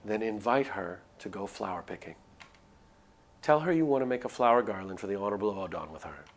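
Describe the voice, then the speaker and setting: A man's voice: lowish voice, animated, fairly quick. A man voicing a cold, commanding village woman in a story game, matter-of-fact and urgent.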